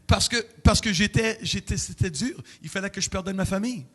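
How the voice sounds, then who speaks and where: A middle-aged man, preaching a sermon in a church with emotional, animated delivery and sudden outbursts. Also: high-pitched, fairly quick. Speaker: pastor.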